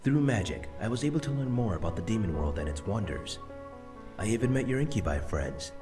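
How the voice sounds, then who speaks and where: A man voicing the spirit of an elderly grandfather, speaking softly and patiently. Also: fairly low pitch, expressive, brisk, lightly acted. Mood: tender. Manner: soft and tender, explaining patiently. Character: gentle elderly grandfather's spirit, loving and regretful. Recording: music bed under voice.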